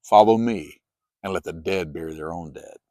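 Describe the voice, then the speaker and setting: Guy doing calm holy teacher from the bible, measured and firm, lowish, dry sound. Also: no room sound, lightly acted. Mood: grave. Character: calm, authoritative adult male holy teacher.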